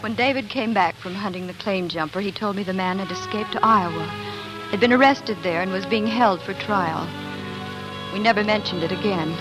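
A woman's voice: mid-range voice, moderate pace, music in the background, close to an everyday voice, rough recording. An actress playing a young homesteader wife and mother in a 1940s radio drama, narrating in an earnest, wistful, dramatic way. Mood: earnest narration. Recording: 1940s radio broadcast recording.

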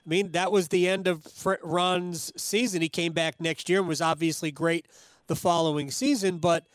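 A man's voice: fairly high pitch, steady tone, normal speed, no echo. A man hosting conversational sports talk, recalling things in an eager, opinionated, rambling way. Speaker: sports show host.

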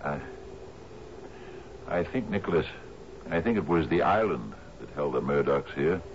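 An actor playing a troubled older sea captain in a 1970s radio drama, with a hesitant, stammering delivery. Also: male voice, rumbling low, expressive, a little slow. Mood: somber, wistful. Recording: muffled, noisy 1970s radio broadcast.

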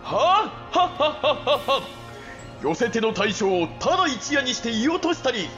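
An actor playing an excited warrior shouting victory to his comrades with exultant battle cries in a Japanese period audio drama. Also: very high-pitched, moderate pace, highs cut off, music in the background.

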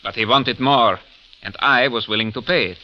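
An actor playing a stocky, patient, scheming doctor, formal and precise, in 1940s radio drama. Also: male voice, medium pitch, moderate pace, slight background noise, lightly acted. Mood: smooth, insistent. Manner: formal, precise, smoothly insistent. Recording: dull old radio recording.

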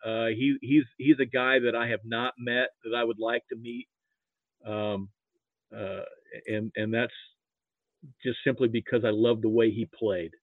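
A man's voice: medium pitch, flat delivery, dry recording. Male interview guest from Nebraska, casual and rambling, repeats himself, narrow telephone-like sound. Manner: rambling, halting, repeats words. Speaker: interview guest, sports history archivist. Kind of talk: casual reminiscing about football.

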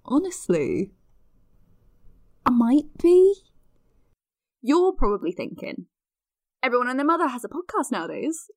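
A woman's voice: mid-range voice, no room sound, studio quality, lightly acted. A woman playing a self-deprecating, nerdy podcaster in her twenties, rambling chattily with hesitant asides in an audio drama. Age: 20s.

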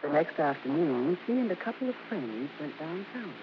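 An actor playing a grown child fondly and incredulously recalling parents, in theatrical radio narration. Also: male voice, on the high side, very animated, normal speed, background noise. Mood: fond, amused. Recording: muffled old radio broadcast.

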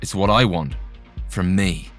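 A man voicing a bitter, out-of-shape man seeking control, speaking low and grim. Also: a little slow, fairly bright tone, soft background music.

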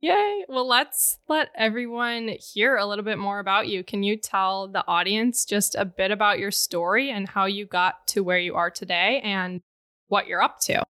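A woman's voice: medium pitch, normal speed, bright, forceful tone, no room sound, studio quality. A woman hosting a podcast, introducing her guest with upbeat enthusiasm. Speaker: podcast host.

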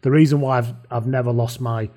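A man's voice: medium pitch, steady tone, fairly quick, dark tone. A man in his forties with a Lancashire accent, hosting a casual chat and telling a personal story with tangents and self-deprecating asides. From Lancashire, England. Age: 40s. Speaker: podcast host.

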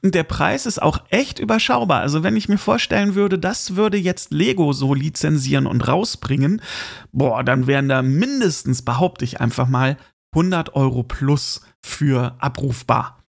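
A man hosts a solo podcast in a gravelly, fry-laden voice that sits a little high for a male speaker. He talks casually and addresses the listener directly, as in an informal chat. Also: normal speed. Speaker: podcast host. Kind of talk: casual solo podcast monologue with gift tips.

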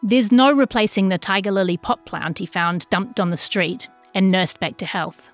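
A woman's voice: medium pitch, normal speed, soft background music. A woman with a New Zealand accent reads a written news feature as a journalist, in scripted narration.

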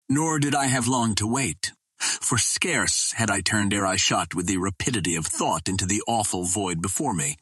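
A man reading an old adventure novel as an audiobook narrator, in a measured, dramatic storytelling manner. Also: fairly low pitch, a bit bright, slightly rough, dry recording.

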